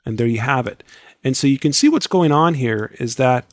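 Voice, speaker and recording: A man guides viewers through an editing tutorial one click at a time, relaxed and chatty. His voice sounds scratchy and worn, as if he is losing it. It is recorded close and dry, with no room sound. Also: medium pitch, moderate pace, no echo. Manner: step-by-step instructive, relaxed and chatty. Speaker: software tutorial instructor. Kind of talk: screen-recorded software tutorial.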